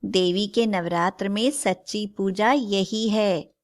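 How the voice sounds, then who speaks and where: A woman's voice: mid-range voice, steady tone, takes it slowly, lightly acted. A woman voicing a stern, righteous mother goddess who admonishes and preaches in a moral storybook tale. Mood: commanding, instructive. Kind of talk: scripted moral storybook acting.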